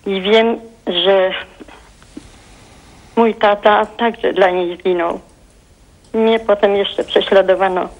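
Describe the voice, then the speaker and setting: A woman in her eighties from Poland calls in to a TV talk show, speaking in a heartfelt, halting way and often searching for words. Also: medium pitch. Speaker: caller. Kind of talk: phone-in call to a TV talk show. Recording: dull phone line.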